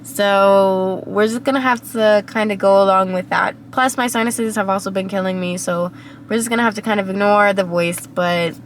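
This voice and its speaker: A young woman with a cold-hoarse, raspy voice, rambling reflectively to her camera while sitting in her car. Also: medium pitch, fairly even intonation, moderate pace, a little hiss or hum. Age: young adult. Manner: rambling, reflective, many fillers. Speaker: vlogger. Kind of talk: casual vlog monologue. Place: inside a parked car.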